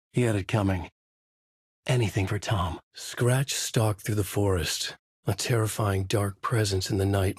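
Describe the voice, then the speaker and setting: A man voicing a brooding, haunted crime novelist from a horror video game, narrating in a grave, intense, deliberate noir style with a husky voice. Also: fairly low pitch, darkish tone, no room sound, studio quality. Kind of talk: noir scripted narration and character lines.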